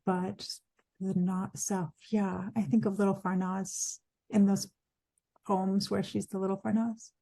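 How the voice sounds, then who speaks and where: A woman's voice: medium pitch, level tone, creaky, dark tone, dry recording. A woman poet talking as a guest, reflective and musing about the self. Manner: reflective, musing, quick to laugh. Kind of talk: casual conversation.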